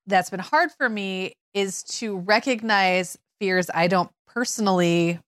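A woman's voice: mid-range voice, measured pace, a bit bright, smooth, no echo, clean studio sound. A middle-aged woman co-hosting a parenting podcast, telling stories in a chatty way with many fillers and restarts. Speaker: podcast co-host. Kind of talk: casual conversational chat.

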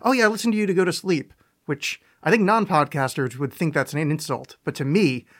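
A man's voice: fairly high pitch, brisk, fairly bright tone. A man hosting a podcast in casual chat, joking and self-deprecating as he rambles. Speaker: podcast host.

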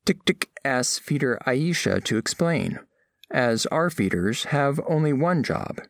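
A man plays a studious alien envoy giving a mission report in an audio drama. The diction is formal and exact, with a mid-range pitch that moves expressively. The sound is dry, with very little room. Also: lively intonation, dry recording. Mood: puzzled, formal. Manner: formal, precise, deliberate diction. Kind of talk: scripted first-person narration, audio fiction.

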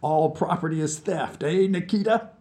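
A man's voice: fairly high pitch, normal speed, warm tone, voice pushed up, close to an everyday voice. A man voicing a cocky, tipsy male Soviet scientist who brags and gossips in a conspiratorial way. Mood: boastful. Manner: boastful, chatty, conspiratorial. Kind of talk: audiobook character voice.

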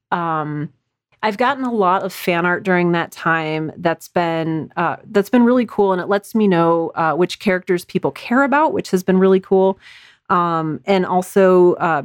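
A woman's voice: on the low side, no room sound, studio quality. A woman being interviewed as a writer, explaining her craft conversationally and acting out little example quotes. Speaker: audio drama writer and podcast guest.

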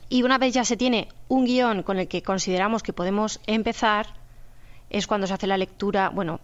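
A woman's voice: medium pitch, steady tone, moderate pace, highs cut off. A woman with an accent from Spain, methodically explaining her work as a radio producer in an interview, step by step. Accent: Spanish from Spain.

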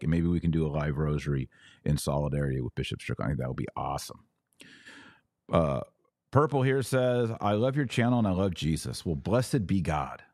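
An American man with a very low voice hosts a live commentary show. He is blunt and opinionated, often repeating a phrase to drive it home. The sound is dry, with hardly any room on it. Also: darkish tone, no room sound. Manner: emphatic, repeats phrases for stress. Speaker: podcast host answering live audience comments.

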